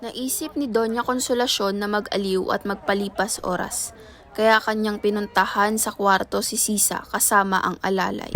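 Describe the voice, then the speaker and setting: A woman's voice: mid-range voice, fairly even intonation, moderate pace, fairly bright tone. A woman reading scripted narration for a radio play as its storyteller. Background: music under the voice.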